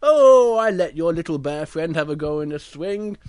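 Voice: mid-range voice, animated, normal speed, darkish tone, clear, resonant tone, dry recording, natural voice. A storyteller voicing a blunt, possessive but friendly male polar bear in a children's story, speaking emphatically.

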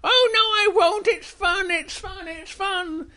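An adult storyteller voicing an excitable, reckless tiny little bear with gleeful, childlike squealing. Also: extremely high pitch, slow, pitched above the performer's own voice, heavily acted. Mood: thrilled, gleeful.

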